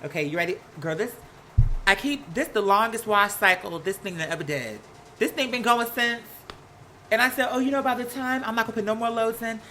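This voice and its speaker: A live stream host with an American accent chatting casually and gossiping, sassy and animated, slangy and rambling from one aside to the next. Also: mid-range voice, a little fast, slight background noise. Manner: sassy, slangy, peppered with "girl" and "honey". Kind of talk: casual live chat to viewers, gossipy commentary.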